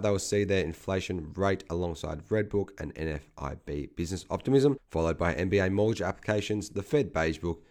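Casting note: male market commentator, low voice, slight croak, brisk figure-heavy read. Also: a little mellow. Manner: brisk, businesslike delivery of figures. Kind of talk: scripted market update.

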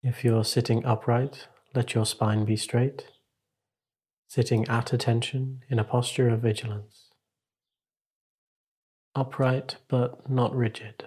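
A man leading a guided meditation as a teacher, speaking in a calm, measured, instructive way with long pauses. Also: mid-range voice, slightly rough.

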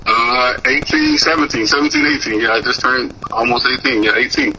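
A man's voice: medium pitch, huge pitch swings, moderate pace, sharp tone, a little hiss or hum, poor audio. A young man from Mississippi, a musician guest in a casual interview, talking loosely with many fillers and restarts.

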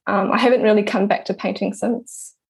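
Gravelly young New Zealand woman, hesitant. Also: medium pitch, steady tone, a little fast. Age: 20s. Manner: hesitant, many ums and restarts. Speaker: guest, artist. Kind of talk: casual interview answers.

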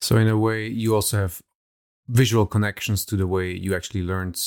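A man's voice: on the low side, level tone, brisk, a little mellow. A male podcast host, not a native English speaker, asking musing, searching interview questions. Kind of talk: interview conversation.

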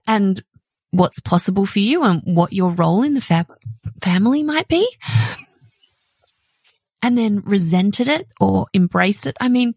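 A middle-aged woman hosting a solo podcast, talking in an animated, chatty way with a loud voice and frequent laughs. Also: medium pitch, gravelly, husky, dry recording. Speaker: podcast host.

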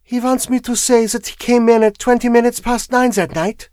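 A cool, controlled foreign wife from a mystery audiobook, voiced by a man. The voice is lifted well above a man's usual talk, quiet and intense, with cold self-possession. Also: extremely high pitch, fairly even intonation, normal speed, slight background noise, voice pushed up. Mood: cold, probing. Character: pale, calm, coldly intense foreign wife.

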